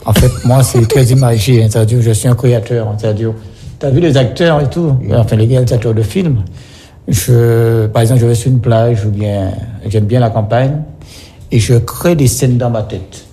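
A man's voice: mid-range voice, moderate pace, dark tone, husky. A man in casual conversation, explaining things as a guest and often repeating and rephrasing himself. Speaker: guest, songwriter for singers.

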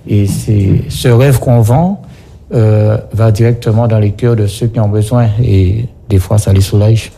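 A man's voice: on the low side, steady tone, unhurried, vocal fry, warm tone, a little hiss or hum. A man in casual conversation, explaining things as a guest and often repeating and rephrasing himself. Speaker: guest, songwriter for singers.

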